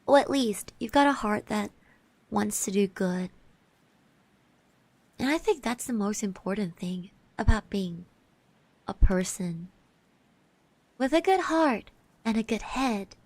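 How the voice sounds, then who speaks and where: Woman for ASMR roleplay: soft-spoken, reassuring, unhurried delivery, close and dry on the mic. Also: mid-range voice, animated, no echo. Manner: gentle, reassuring, hesitant musing with pauses and soft hums. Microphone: close microphone, dry.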